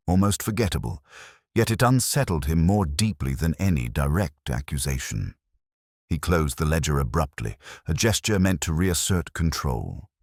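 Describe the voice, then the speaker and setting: Really deep older guy reading a detective story, measured and solemn, voice moves up and down a lot. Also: no room sound, studio quality. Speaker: narrator. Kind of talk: scripted first-person detective story narration.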